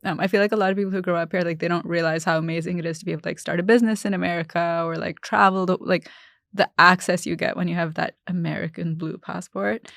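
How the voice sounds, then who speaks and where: A young woman from Pakistan hosting a relaxed interview, with a slightly gravelly voice. She talks quickly and brightly and laughs often. The recording is close and dry. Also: lowish voice, no echo. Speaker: podcast host. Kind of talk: casual interview chat.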